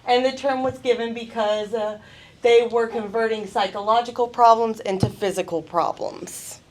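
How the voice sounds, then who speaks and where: A woman gives a presentation at a college event. Her voice sits a little high, and she talks in a lively, chatty storytelling way. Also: moderate pace, rough recording. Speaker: student presenter. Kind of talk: class presentation.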